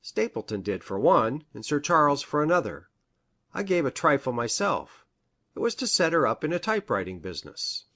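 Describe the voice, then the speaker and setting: A man's voice: on the high side, sing-song, moderate pace, sharp tone, heavily acted. A man playing a worldly, gossipy country doctor in a radio mystery drama, confiding and matter-of-fact.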